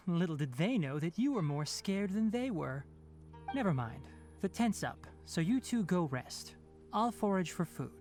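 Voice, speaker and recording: An actor playing a young, knowledgeable forest ranger in a video game, instructive and practical with a dry candour. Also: male voice, high-pitched, big pitch swings, a little slow, a little mellow, faint music behind, heavily acted. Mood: reassuring, matter-of-fact. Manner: instructive, matter-of-fact, slightly dry.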